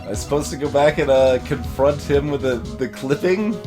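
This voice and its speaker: A man giving casual let's play commentary and reading dialogue, haltingly thinking aloud. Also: medium pitch, music in the background. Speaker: let's player voicing game characters. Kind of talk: casual gameplay commentary mixed with read dialogue.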